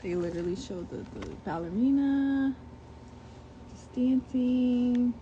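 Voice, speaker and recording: Lively woman reading a picture book. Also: fairly high pitch, takes it slowly, dull audio, slight background noise. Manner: reads with lively asides and commentary. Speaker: storybook reader. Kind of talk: children's picture book read-aloud.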